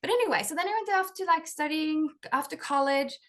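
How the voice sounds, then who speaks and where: A woman from Norway, a life coach and author, telling her story in a chatty interview, full of "like" fillers and quick laughs. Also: high voice, fairly bright tone. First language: Norwegian.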